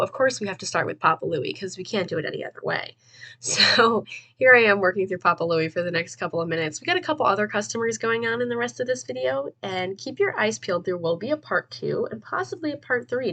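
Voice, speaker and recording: A young woman's voice, stuffed up and a bit nasal from congestion. She rambles in a relaxed, chatty way over gameplay, drifting between thoughts with fillers and the odd laugh. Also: mid-range voice, slightly rough. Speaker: gaming video host. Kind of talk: casual voice-over gameplay commentary.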